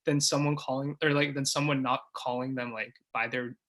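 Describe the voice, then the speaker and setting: A teenage boy debating in crossfire, quick to cut in, with many fillers. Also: mid-range voice, level tone, a bit bright. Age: teens. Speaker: high school debater.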